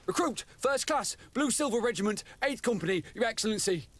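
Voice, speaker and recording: An actor playing an eager, deferential young army recruit in a video game, clipped and respectful. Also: male voice, high-pitched, expressive, a little fast, fairly bright tone, no echo. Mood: dutiful. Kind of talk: video game dialogue acting.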